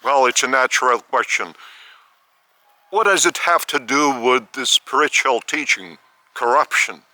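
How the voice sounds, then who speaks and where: A man from Lithuania, giving a spiritual talk outdoors in the park of an old estate, speaking slowly in short, halting phrases. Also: mid-range voice, lively intonation, pushed and bright, no echo. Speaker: spiritual teacher.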